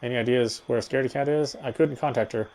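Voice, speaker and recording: A man's voice: mid-range voice, fairly even intonation, fast, a little mellow. A man reading first-person visual novel text aloud as narration, stumbling now and then over words.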